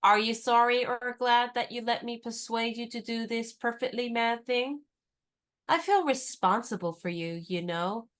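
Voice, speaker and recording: An actress in a radio play playing a spirited young woman disguised as a lady's maid, talking in a brisk, teasing, knowing way. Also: a little high, fairly bright tone.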